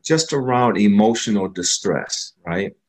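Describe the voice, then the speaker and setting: A man's voice: on the low side, expressive, measured pace. A man hosting an interview, affirming his guest and asking strings of questions. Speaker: interview host. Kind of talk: conversational interview.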